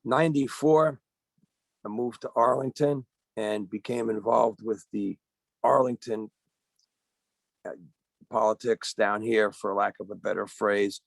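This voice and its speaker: Gravelly man, voice going, halting delivery on a video call, dry sound. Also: fairly low pitch, fairly even intonation, no room sound. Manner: halting, searching for words. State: losing his voice. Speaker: union organizer. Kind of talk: panel remarks on a webinar.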